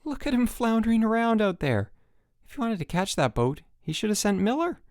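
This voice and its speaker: A man's voice: sits high, big pitch swings, fairly quick, darkish tone, dry recording, pitched above the performer's own voice. A man voicing an amused young male swim instructor at a summer camp who talks in a teasing way, recorded dry.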